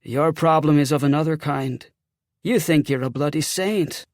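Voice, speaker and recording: A man's voice: on the high side, normal speed, darkish tone, no echo, studio quality, close to an everyday voice. A man voicing the mocking ghost of a young slain officer with a Celtic accent, sardonic and taunting, in an audiobook. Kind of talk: audiobook character acting.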